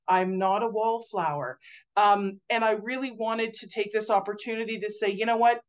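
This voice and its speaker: A woman in her forties, a guest on a video call interview, talking loudly and animatedly with lots of fillers and restarts. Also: medium pitch, normal speed, dull audio. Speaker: guest author. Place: home room indoors. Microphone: video call webcam.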